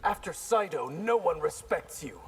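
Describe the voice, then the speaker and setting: A man's voice: a little high, expressive, normal speed, slight background noise, close to an everyday voice. A man voicing an earnest, defiant young ninja in a video game, with a challenging delivery. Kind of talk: video game character dialogue.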